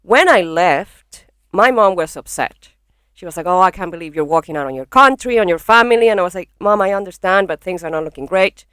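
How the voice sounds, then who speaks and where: Venezuelan woman chatting on a pod, blunt and swears a lot, smooth clear voice, dead dry room. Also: fairly low pitch, animated, fairly quick, fairly bright tone, no echo. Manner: frank, swears freely, laughs easily. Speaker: guest comedian. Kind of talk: casual podcast chat. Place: small home studio in a bedroom. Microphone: podcast microphone.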